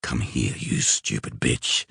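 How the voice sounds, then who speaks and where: A man voicing a rough, hulking drinker in an audiobook, gruff, awkward and hesitant. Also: a bass voice, fairly even intonation, slow pace, bright, forceful tone, dry recording, clean studio sound, pitched below the performer's own voice, exaggerated voice. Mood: exasperated. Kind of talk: audiobook character voice.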